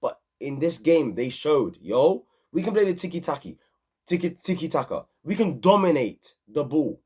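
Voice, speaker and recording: Excitable man reviewing football. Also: a little high, normal speed, slightly rough. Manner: animated, repetitive, slangy. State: hoarse from screaming. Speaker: football channel host. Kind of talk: excited casual match review.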